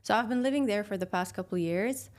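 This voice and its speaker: A woman in her twenties from Sweden, a podcast guest talking casually and thoughtfully about her path, with fillers and soft laughs. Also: medium pitch, fast talker. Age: 20s. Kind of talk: casual interview chat.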